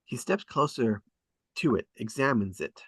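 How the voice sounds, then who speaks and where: A man reading stage directions aloud at a script table read, in a deliberate, descriptive way. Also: mid-range voice, lively intonation, a bit bright, slightly rough, no echo, studio quality.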